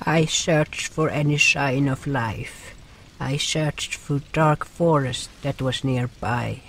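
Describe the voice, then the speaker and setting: A man playing a gentle nonhuman swamp creature who narrates a fantasy audio drama haltingly, in broken grammar with dropped articles. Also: medium pitch, a little hiss or hum. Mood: solemn storytelling. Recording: music behind the voice.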